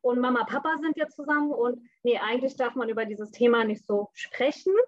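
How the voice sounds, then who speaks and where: Higher-voiced woman raised in Bangladesh. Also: steady tone, a little fast, no room sound, lo-fi sound. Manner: open, frank and reflective, with frequent fillers. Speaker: interview guest. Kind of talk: conversational interview.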